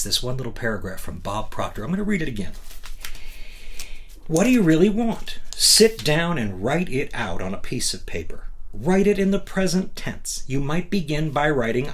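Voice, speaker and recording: A man's voice: mid-range voice, a bit bright. A man hosting a motivational book reading, emphatic and instructive, with conversational asides and rhetorical questions. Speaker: host reading a book aloud.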